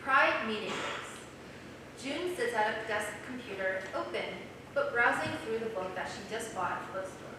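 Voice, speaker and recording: A woman's voice: fairly high pitch, animated, normal speed. A young woman reading scripted stage directions aloud at a play reading. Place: small theater stage. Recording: very echoey, noisy theater, distant mic.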